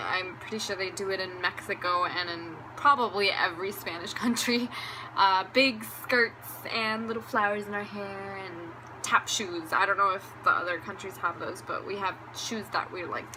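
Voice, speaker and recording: A young woman from Venezuela telling a candid, rambling story time for her vlog, laughing now and then. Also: mid-range voice. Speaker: beauty vlogger. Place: room at home with a fan running. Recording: fan hum in background.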